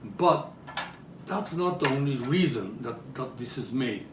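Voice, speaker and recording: A man's voice: mid-range voice, animated, a little slow. A man from Japan with a Japanese accent gives a live show-and-tell demonstration, often checking in with his audience through short questions. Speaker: presenter demonstrating traditional toys. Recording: roomy, distant mic with background noise.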